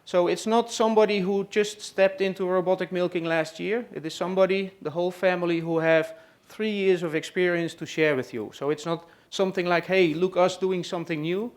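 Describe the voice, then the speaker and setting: A man with a Dutch accent speaks to an audience at an expo, pitched higher than most men. He talks with hesitant pauses and frequent fillers as he introduces his guests. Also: fairly even intonation. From Netherlands. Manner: hesitant, many "uh" and "let's say" fillers. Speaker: presenter hosting a farm tour session. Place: room at a trade expo.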